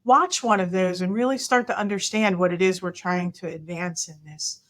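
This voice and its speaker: A woman's voice: fairly low pitch, steady tone, normal speed, fairly bright tone. A woman in her fifties answering interview questions over a video call from an office, thoughtful and earnest, restarting her sentences often with many ums. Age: 50s. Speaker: guest. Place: office with a large window.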